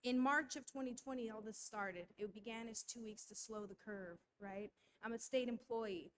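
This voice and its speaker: A woman's voice: on the high side, moderate pace, slight background noise. A woman giving a public comment at a school board meeting, speaking in a conspiratorial tone and tossing in rhetorical tag questions.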